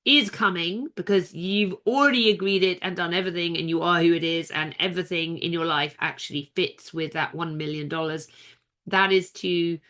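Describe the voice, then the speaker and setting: A woman's voice: fairly low pitch, fairly even intonation, normal speed, a bit bright. A woman giving an online seminar in a chatty, rambling way, sounding nasal from a cold. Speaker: seminar coach.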